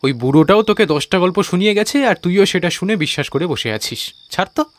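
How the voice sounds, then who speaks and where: A man's voice: sits high, lively intonation, fairly quick. An actor playing a cocky, scoffing young man who leads his friends in an audio ghost story. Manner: mocking, colloquial. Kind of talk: audio drama dialogue in a Bengali ghost story.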